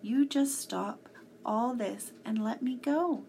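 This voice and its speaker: A woman voicing a headstrong, indignant little girl in a storybook, speaking in sharp, scolding bursts. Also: fairly high pitch, takes it slowly, creaky, a little hiss or hum. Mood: defiant, near tears.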